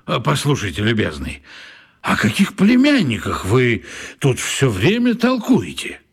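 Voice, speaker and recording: A man's voice: medium pitch, wildly swooping pitch, measured pace, husky, full character voice. An actor playing a courteous, curious man on a train in a children's radio play, asking probing questions. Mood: curious, polite.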